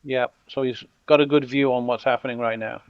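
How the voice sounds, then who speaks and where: A middle-aged man from Britain with a blended British and American accent, giving relaxed, wry commentary over a strategy game and joking with his units. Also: medium pitch, a little fast, a little hiss or hum. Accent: mixed British and American. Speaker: gameplay commentator. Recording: dull lo-fi audio.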